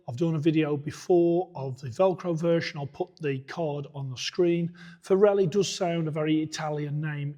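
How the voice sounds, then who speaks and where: A hoarse-voiced man, sitting a little high in pitch, works through a product review step by step. His tone is mellow and soft-edged, never sharp. He sounds like a coach talking plainly to viewers. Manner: methodical, plain-spoken, point by point. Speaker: boxing coach reviewing equipment.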